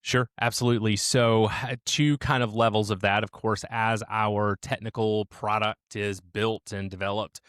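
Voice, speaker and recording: A man with a low voice answers interview questions in a measured, explanatory way. He sounds close and dry. He slows down whenever he stresses a point. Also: level tone, a bit bright, clean tone, dry recording. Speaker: support team leader, guest.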